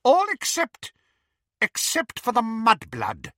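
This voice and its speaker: A frantic, cruel witch, put on by a man reading a storybook, shrieks orders in a high, piercing voice. Her voice is bright and close in a dry, dead room. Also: huge pitch swings, takes it slowly, no echo, full character voice. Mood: cold commanding. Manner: screaming, high-pitched, imperious.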